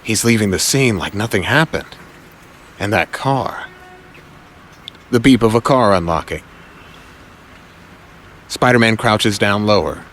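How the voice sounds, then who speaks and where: A man with a low voice narrates a scripted audio drama. His delivery is suspenseful and varied in pitch, broken by dramatic pauses, over faint background noise. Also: fairly bright tone.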